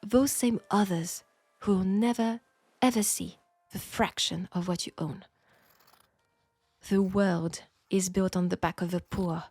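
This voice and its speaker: A woman with a French accent playing a proud, wry young Parisian seamstress in a scripted audio roleplay, speaking in a measured, pointed way. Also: medium pitch, fairly even intonation, darkish tone, breathy edge, no room sound, faint music behind. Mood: bitter, sardonic. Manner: measured, pointed, sardonic, with sighs.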